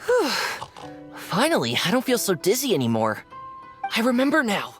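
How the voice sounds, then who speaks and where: Earnest, emotive boyish imaginary-friend creature. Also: female voice, medium pitch, huge pitch swings, normal speed, soft background music. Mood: relieved, grateful. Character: devoted, hot-tempered young male imaginary-friend creature. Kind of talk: animated video game dialogue.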